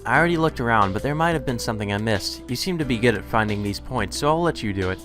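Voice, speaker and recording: A man voicing a brisk, teasing, bossy schoolgirl in a visual novel. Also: mid-range voice, animated, music in the background, lightly acted.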